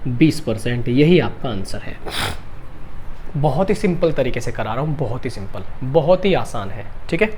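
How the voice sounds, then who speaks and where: A man's voice: medium pitch, lively intonation, moderate pace, a little mellow, a little hiss or hum. A male math teacher with a sore throat, giving an exam-prep lesson. He repeats each step and asks and answers his own questions. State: sore throat, clears throat and coughs.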